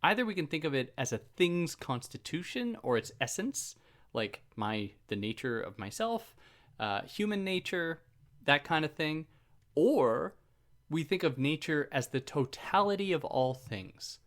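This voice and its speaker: A man's voice: a little high, very animated, a little slow, a bit bright. A man hosting a philosophy podcast, explaining ideas in a casual, chatty way, joking at himself and wandering off on side points. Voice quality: soothing voice. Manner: chatty, self-deprecating, goes off on tangents.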